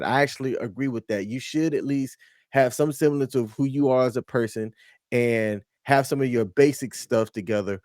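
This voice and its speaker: A man co-hosting a casual reaction chat, animated and prone to loud excited bursts. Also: mid-range voice, dry recording, studio quality. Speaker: co-host reacting to clips.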